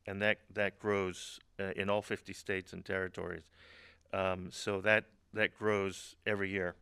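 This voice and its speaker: A middle-aged man from Honduras speaks at a webinar, his low voice gravelly yet smooth and resonant. The sound is dry and close. He talks haltingly, with many fillers and restarts and the odd humorous aside. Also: little pitch movement, bright, forceful tone, no room sound. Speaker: government agency speaker, veteran. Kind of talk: webinar presentation.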